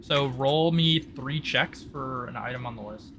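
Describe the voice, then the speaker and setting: A man's voice: medium pitch, a little slow, bright, forceful tone. A man running a tabletop roleplay session as game master, talking casually and explaining rules off the cuff, with some noise in the recording.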